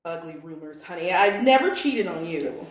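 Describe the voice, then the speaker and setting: A woman's voice: fairly low pitch, animated, normal speed, close to an everyday voice. A woman acting a soothing but evasive mother of a small boy in a live comedy sketch. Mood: defensive. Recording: roomy live recording, distant mic.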